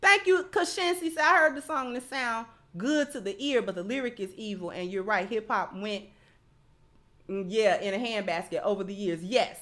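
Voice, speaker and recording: A woman's voice: mid-range voice, moderate pace, fairly bright tone. An American woman host giving opinionated commentary in informal, colloquial speech, emphatic and repeating phrases to drive a point home. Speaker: livestream host.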